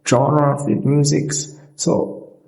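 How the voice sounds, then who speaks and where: A man's voice: a little high, monotone, slow pace, vocal fry, a little mellow, rough recording. A man narrating an informal app tutorial as its host, explaining in a repetitive way and correcting himself.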